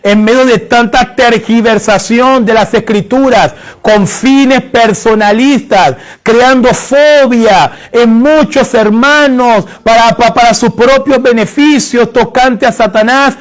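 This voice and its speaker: A man's voice: extremely high pitch, slow pace, few pauses. A man from Haiti preaching a sermon, fervent and building to emphatic prayer, repeating phrases for emphasis.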